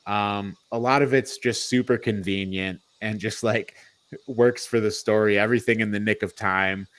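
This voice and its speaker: A man's voice: on the low side, fairly even intonation, moderate pace, fairly bright tone. Man chatting casually on a podcast. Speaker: podcast co-host reviewing movies. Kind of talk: casual panel chat.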